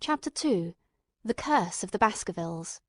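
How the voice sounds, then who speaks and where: A woman's voice: mid-range voice, lively intonation, normal speed, no room sound. A woman reading scripted literary narration as an audiobook narrator.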